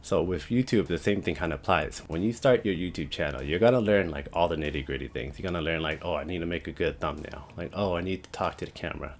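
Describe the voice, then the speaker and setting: A young man talking casually to the camera about starting a channel, with a deep, monotone voice, rambling with lots of "like" and "you know". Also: quick pace, few pauses, slight background noise. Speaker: YouTube creator. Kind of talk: casual talking-head vlog.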